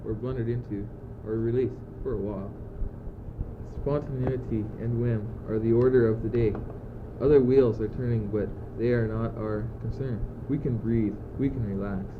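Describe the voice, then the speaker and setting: A man's voice: medium pitch, natural voice. Muffled, noisy recording of a teenage boy as a philosophizing courtier. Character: Guildenstern, philosophizing, cool-headed young male courtier. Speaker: student actor. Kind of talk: amateur radio play. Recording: muffled phone-like old tape, loud noise.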